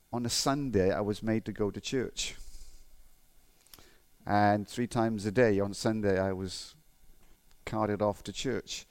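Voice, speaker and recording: Middle-aged welsh guy giving a sermon, chatty, stops and restarts a lot. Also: on the low side. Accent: Welsh, faded. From Wales. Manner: conversational, with frequent restarts and fillers. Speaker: preacher. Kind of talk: sermon with personal stories and Bible reading.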